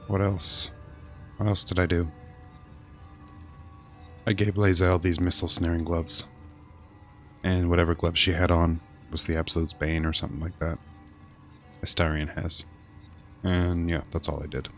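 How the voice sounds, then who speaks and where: A man's voice: low voice, flat delivery, normal speed, long pauses, fairly bright tone, faint music behind. A man giving casual live gameplay commentary as a streamer, rambling and thinking aloud. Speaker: game streamer.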